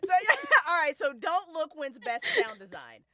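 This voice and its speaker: A woman's voice: high voice, huge pitch swings, unhurried, sharp tone. A woman hosting a casual live chat, talking in an emphatic, slangy, sweary way. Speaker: host. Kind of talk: casual live chat with podcast cast and crew.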